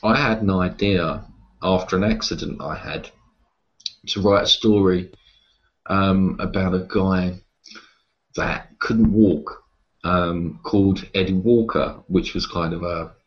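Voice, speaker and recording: Low-voiced man in a muffled interview. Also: fairly even intonation, slow. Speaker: interview guest, horror writer and publisher. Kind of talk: casual interview talk about writing.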